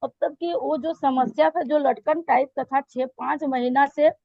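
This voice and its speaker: A woman with a hoarse, high voice tells her pastor her story in halting phrases full of fillers and restarts. Her tone is gravelly. The sound is dull and lo-fi, like call audio, with no room echo. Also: fairly even intonation, a little mellow. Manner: hesitant, many fillers and restarts. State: hoarse throat. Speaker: church member giving testimony. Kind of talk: spoken testimony to a pastor.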